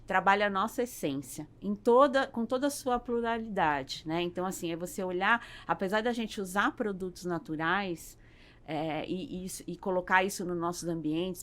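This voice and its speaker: Halting Brazilian woman, slightly low voice. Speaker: podcast guest, salon business owner. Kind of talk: conversational interview answers.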